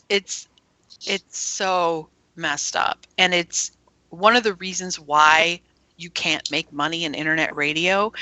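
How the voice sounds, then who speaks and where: Woman radio station owner, low-ish but bright voice, laughs a lot, dry close mic. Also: dry recording, poor audio. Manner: animated, laughs often, rapid explanations. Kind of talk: casual interview chat.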